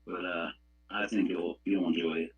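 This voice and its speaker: A man with a low, husky voice takes part in a radio club net. He rambles along in a casual, folksy style. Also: steady tone, moderate pace, dull audio. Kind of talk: casual chat over a walkie-talkie app.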